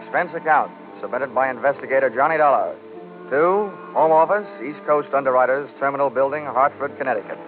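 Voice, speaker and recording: An actor playing a wry, tough, cocky American insurance investigator in a vintage radio drama, with clipped, sardonic delivery. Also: male voice, mid-range voice, fairly quick, over music, lightly acted. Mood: dry, wry. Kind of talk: 1940s-50s radio detective acting, first-person narration. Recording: muffled vintage radio recording.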